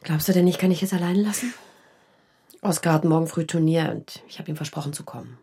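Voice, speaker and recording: A woman acting a caring, slightly weary mother and friend in a naturalistic radio drama, her voice husky and gravelly. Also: sits low, a little fast, warm tone, heavily acted. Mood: reassuring.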